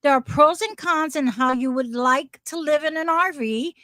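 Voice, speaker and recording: Brief: woman host, New Jersey accent, high and bright, dry close sound, animated live-chat delivery. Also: moderate pace, no echo. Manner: animated, laughs often, reads viewer questions aloud. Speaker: livestream host.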